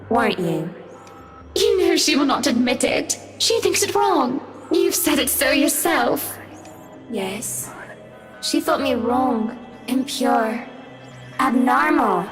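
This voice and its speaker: A creepy cruel mirror ghost lady w two heads, high swoopy taunting voice, horror game. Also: heavily acted. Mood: mock-sweet taunting. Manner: taunting, drawn-out, venomous. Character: cruel, mocking two-headed female apparition in a mirror. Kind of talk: horror visual novel voice acting.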